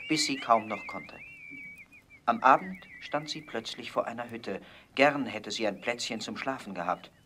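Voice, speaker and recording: A man narrating a fairy tale in a calm, even storytelling voice. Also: medium pitch, a little hiss or hum.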